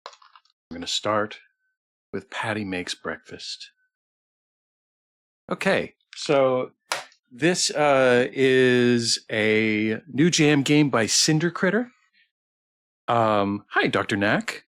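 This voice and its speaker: A man's voice: medium pitch, a bit bright. A tired man hosting a game livestream, chatting casually with viewers in a hesitant, rambling way with repeated phrases and restarts. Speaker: livestream host playing a visual novel. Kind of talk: casual chat with stream viewers.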